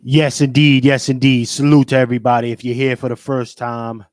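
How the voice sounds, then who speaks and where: A man's voice: medium pitch, level tone, fairly quick, darkish tone, no room sound, clean studio sound. A man hosting a podcast livestream in a casual, emphatic way, repeating his greetings and catchphrases. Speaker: podcast host.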